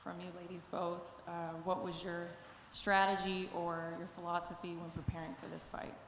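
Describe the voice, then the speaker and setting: A woman's voice: on the low side, flat delivery, moderate pace. A woman interviewer asking fighters casual, enthusiastic questions at a press conference. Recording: echoey hall, faint noise.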